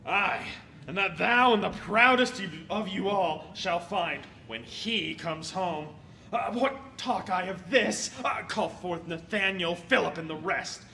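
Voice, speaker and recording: A man acts a bumbling, garrulous servant from Shakespearean comedy. The voice sits high with a gravelly crackle and is halting and theatrical. There is faint noise in this live amateur audio play. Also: sharp tone, exaggerated voice. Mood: bossy. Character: comic bumbling male servant, put-upon and garrulous. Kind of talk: Shakespearean stage-style audio play acting.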